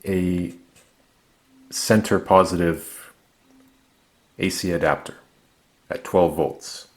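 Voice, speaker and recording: A man narrating a product review, explaining things methodically in short, measured phrases. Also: deep voice, steady tone, a little mellow. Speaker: gadget reviewer.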